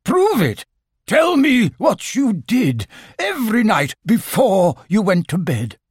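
A man's voice: a little high, sing-song, takes it slowly, vocal fry, slightly rough, no room sound, studio quality, full character voice. Man putting on a pompous, grumpy storybook owl. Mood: defiant. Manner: blustering, indignant. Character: pompous, grumpy, easily rattled male owl. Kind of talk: storybook character voice.

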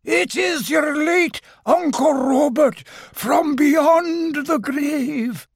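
A man voicing the ominous ghost of a dead uncle in a storybook, wailing slow, drawn-out warnings. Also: very high-pitched, no room sound, clean studio sound, pitched above the performer's own voice, heavily acted. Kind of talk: storybook character voice.

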